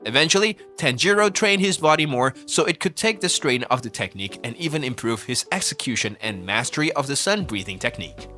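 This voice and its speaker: A man's voice: medium pitch, animated, brisk, few pauses, pushed and bright. A man reading scripted narration for an explainer video. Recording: music bed under the voice. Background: background music.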